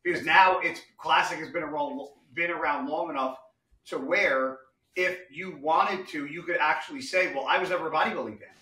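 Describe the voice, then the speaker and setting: A man in his forties hosting a casual podcast chat, blunt and animated, swearing freely. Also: fairly high pitch, a little fast, reverberant, low-quality recording. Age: 40s. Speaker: podcast host.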